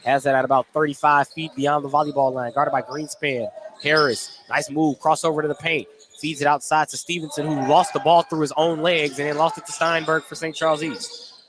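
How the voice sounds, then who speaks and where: A man's voice: a little high, level tone, a little fast. A man doing play-by-play for live basketball radio, describing each play in running detail. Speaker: play-by-play sports announcer. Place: indoor high school gym. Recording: noisy live gym broadcast.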